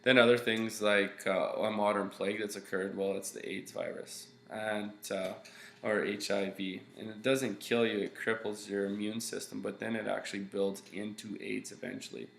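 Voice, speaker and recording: Nasal congested young man, lowish gravelly voice, webcam lecture, echoey home room with faint hum. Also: flat delivery, normal speed. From Canada. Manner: loose, explaining with many fillers and okays. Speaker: teacher. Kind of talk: recorded slideshow lecture. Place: small room at home, indoors.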